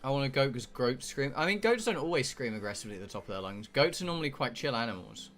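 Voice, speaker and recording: A man's voice: medium pitch, animated, fast, a bit bright. A British man live streaming a game, rambling casually to his viewers in a blunt, sweary, joking way. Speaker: live streamer playing a visual novel.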